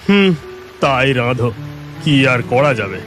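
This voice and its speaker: A man voicing an eager, food-loving, excitable village husband in a cartoon story, given to exclamations. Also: medium pitch, unhurried, faint music behind. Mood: wistful.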